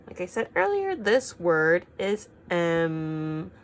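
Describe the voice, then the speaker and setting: A woman teaching young children through an expressive read-aloud, prompting them with frequent simple questions. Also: lowish voice, takes it slowly.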